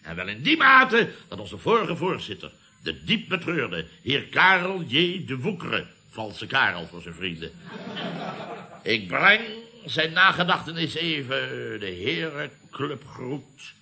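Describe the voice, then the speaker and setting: An actor playing a pompous, indignant older boss in a Dutch radio comedy, declaiming theatrically with a Netherlands accent. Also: male voice, medium pitch, very animated, measured pace, sharp tone, full character voice. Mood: pompous outrage.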